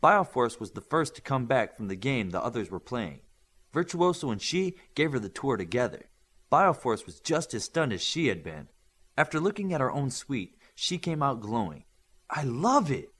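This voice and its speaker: A man's voice: medium pitch, big pitch swings, moderate pace, fairly bright tone. A man reading a story aloud as scripted audiobook narration. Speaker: audiobook reader. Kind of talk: scripted fiction narration with acted dialogue.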